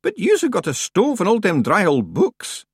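A man voicing a tall, shady stranger who talks loudly, bluntly and evasively. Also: high voice, wildly swooping pitch, moderate pace, breathy edge, no echo, clean studio sound, pitched above the performer's own voice, full character voice. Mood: gruff. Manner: loud, blunt, cagey. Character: tall dark stranger, loud and rough.

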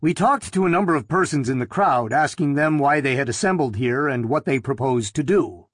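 A man's voice: mid-range voice, lively intonation, fairly quick, no echo, studio quality, natural voice. A man voicing a formal, courteous male TV news announcer in an audiobook.

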